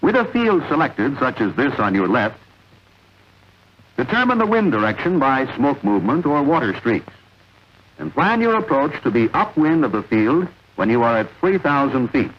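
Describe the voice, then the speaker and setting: Husky male training-film narrator, lo-fi. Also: medium pitch, big pitch swings. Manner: measured, authoritative, didactic. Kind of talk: scripted instructional narration, vintage military training film. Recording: dull, lo-fi vintage film soundtrack.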